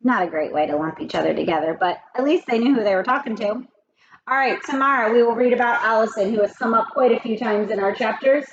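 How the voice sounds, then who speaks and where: A woman teacher reading a chapter aloud to children, expressive and talking to the kids directly between passages. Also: on the high side, fast talker, muffled recording, noisy background. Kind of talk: children's read-aloud with asides.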